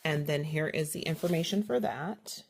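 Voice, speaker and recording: A woman's voice: low voice, normal speed, vocal fry, slight background noise. Chatty woman with a slight cold. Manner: chatty, self-correcting, detailed. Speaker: haul vlogger. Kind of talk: casual product haul walkthrough.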